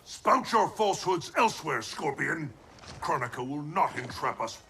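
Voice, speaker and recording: An actor playing a stern, suspicious, commanding thunder god in a video game, speaking in an imperious, theatrical, formal manner. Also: male voice, a little high, animated, moderate pace, a little hiss or hum. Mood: scornful. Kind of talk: video game cutscene acting. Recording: loud game music behind. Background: loud cinematic music.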